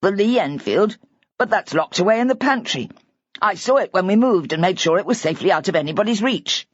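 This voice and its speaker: A woman's voice: medium pitch, expressive, brisk, no room sound, lo-fi sound, natural voice. A woman voicing a distraught older husband whose words tumble out in a rambling, hysterical rush.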